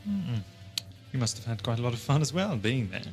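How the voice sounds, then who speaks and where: A man's voice: medium pitch, very animated, brisk, darkish tone, close to an everyday voice. A man playing an inquisitive, wry and polite time-travelling adventurer in an audio drama, with a questioning manner. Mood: probing.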